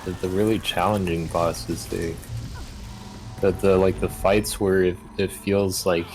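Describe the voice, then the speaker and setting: Deep-voiced young man, halting gamer chat. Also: level tone, darkish tone, rough recording. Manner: halting, searching for words. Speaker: co-player. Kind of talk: casual gaming chat. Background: faint game music.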